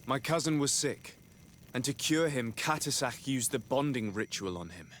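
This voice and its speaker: An actor playing an earnest young nobleman in a video game, speaking formally and gravely as a resolute envoy. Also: mid-range voice, lively intonation, normal speed, fairly bright tone, slight background noise, natural voice. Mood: grave urgency. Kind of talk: dramatic video game dialogue.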